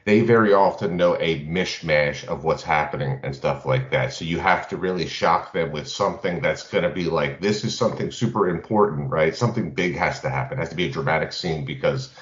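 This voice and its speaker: Male podcast co-host, extremely low voice, conversational, recorded with noticeable room echo. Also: fairly quick, few pauses. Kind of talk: casual panel discussion on tabletop role-playing. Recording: roomy, dull band-limited audio.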